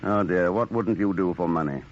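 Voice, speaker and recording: A man's voice: low voice, normal speed, lightly acted. Suave, dryly bantering male agent, played by an actor, vintage radio drama, muffled with heavy hiss. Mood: dry bargaining. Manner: dry, flirtatious, bantering. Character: suave, unflappable, wry male secret agent. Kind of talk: 1940s radio drama acting. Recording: dull, noisy old radio recording.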